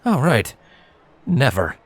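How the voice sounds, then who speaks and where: A man's voice: mid-range voice, very animated, slow pace, dry recording, voice pushed up. A man voicing a bashful, imaginative young male pony in an audio story, sheepish and mildly defensive. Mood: musing. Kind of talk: fan fiction audio story character acting.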